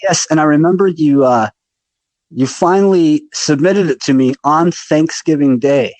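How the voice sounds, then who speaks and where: A man's voice: fairly high pitch, a little slow, darkish tone, no echo, low-quality recording. A man hosting a podcast interview who chimes in often with enthusiastic agreement and retells his own memories. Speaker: podcast host, musician.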